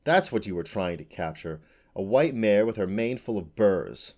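A man's voice: lowish voice, lively intonation, moderate pace, a little mellow. A man voicing a proud, ancient female unicorn from a storybook, haughty and formal in manner. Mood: indignant.

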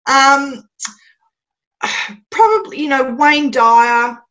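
Australian woman, guest on a podcast, chatty with lots of ums and laughs, a bit high. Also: animated, extremely slow, a bit bright, dull audio. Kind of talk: casual podcast interview.

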